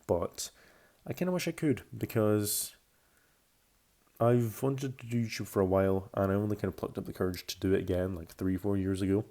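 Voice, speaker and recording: A man in his early twenties with a lowish, dark and relaxed voice. It has a noticeable gravelly creak. He speaks like a casual YouTuber, circling back to rephrase himself as he goes. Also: moderate pace. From Belgium, Scotland and France. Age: early 20s. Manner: rambling, self-correcting, restating phrases. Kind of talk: casual video commentary about himself.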